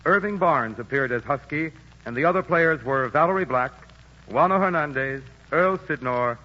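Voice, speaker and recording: 1940s male radio announcer reading credits, bright and a bit high, dull lo-fi broadcast. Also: animated. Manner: formal, measured. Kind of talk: reading credits and sponsor message.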